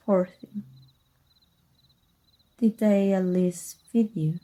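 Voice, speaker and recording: A woman from Mexico voicing a scheming former magic teacher in an ASMR roleplay, speaking softly in a teasing half-whisper. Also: mid-range voice, steady tone, very slow. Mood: sly teasing. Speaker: voice actor.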